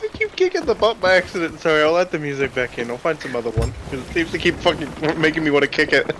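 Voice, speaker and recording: Male casual chatter, rapid delivery, offhand and sweary, noisy online voice-chat sound. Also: fairly high pitch. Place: live-streamed group voice chat. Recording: noisy voice chat, steady background noise.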